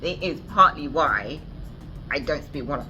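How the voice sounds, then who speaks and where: A woman's voice: low-pitched, animated, a little slow, pushed and bright, faint music behind. A woman with a British accent hosting a casual interview, chatting and encouraging her guest with frequent short agreements. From United Kingdom. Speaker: interviewer and channel host.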